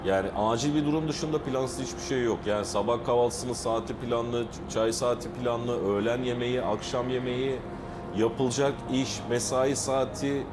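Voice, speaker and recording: A man from a ship's crew, calmly explaining the daily routine in a matter-of-fact interview answer. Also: mid-range voice, moderate pace. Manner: matter-of-fact, lists things plainly. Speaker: ship crew member. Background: background music.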